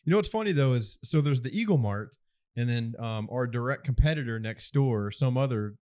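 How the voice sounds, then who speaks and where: एक आदमी, तीस के दशक में, पॉडकास्ट पर हल्के-फुल्के अंदाज़ में बात करता हुआ, अक्सर हँसता और सोचते-सोचते बोलता हुआ।. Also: male voice, medium pitch, brisk, clear, resonant tone. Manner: animated, laughs often, thinks aloud. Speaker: podcast co-host. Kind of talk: casual chat.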